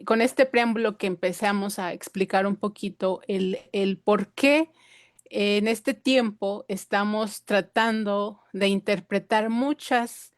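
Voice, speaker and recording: A woman whose first language is Purépecha gives a conference talk. She hesitates and restarts her sentences often. Her voice sits at a middle pitch and the sound is dry and close. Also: no room sound. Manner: hesitant, many fillers and restarts. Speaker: invited speaker.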